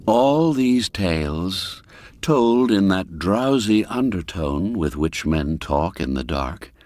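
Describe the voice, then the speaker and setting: Slow, low-voiced male storyteller with expressive delivery. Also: no echo, studio quality. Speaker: audiobook narrator. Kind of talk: dramatic scripted narration of a classic folk mystery tale.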